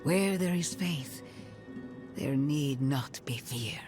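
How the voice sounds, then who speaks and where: An actor playing a grave, pious church leader in a video game cutscene, speaking formally and with measured weight. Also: medium pitch, over music, natural voice. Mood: solemn, resolute. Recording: orchestral game score behind voice.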